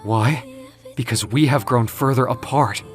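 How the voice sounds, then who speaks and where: A man playing an ancient, weakened winged muse in an audio comic drama, speaking in grave, deliberate oratory. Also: mid-range voice, lightly acted. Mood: impassioned, bitter. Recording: music behind the voice. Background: music.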